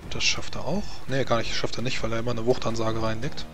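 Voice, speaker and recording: A man's voice: medium pitch, flat delivery, fast talker. A man casually moderating a tabletop role-playing game as game master. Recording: music behind the voice. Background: music.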